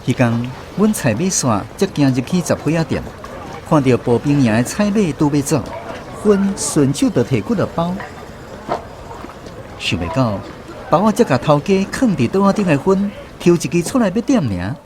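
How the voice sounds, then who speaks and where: An actor narrating as a former conscript in a radio drama, telling scripted first-person memories with a Taiwanese accent. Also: male voice, fairly high pitch, very animated, moderate pace, background noise. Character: wry former conscript narrating his island army memories. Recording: music bed under narration.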